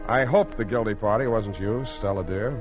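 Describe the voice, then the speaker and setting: An actor playing a tough, wisecracking American private detective in sardonic 1940s radio style. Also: male voice, lowish voice, moderate pace, music in the background, lightly acted. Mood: wry, narrating. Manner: sardonic, clipped, flowery narration. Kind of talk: 1940s radio acting and narration. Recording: muffled old radio recording.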